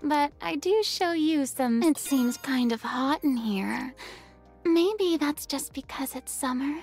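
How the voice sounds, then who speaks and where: An actress playing a gentle, coy woman doctor in a game, speaking slowly. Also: high-pitched, animated, faint music behind, full character voice. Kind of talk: slow game character voice line.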